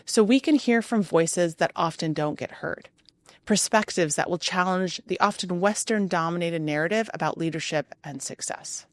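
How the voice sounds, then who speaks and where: Earnest woman podcast host, low voice. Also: no echo. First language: Arabic. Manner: earnest, rhythmic lists with emphatic pauses. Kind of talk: scripted monologue. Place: hotel room.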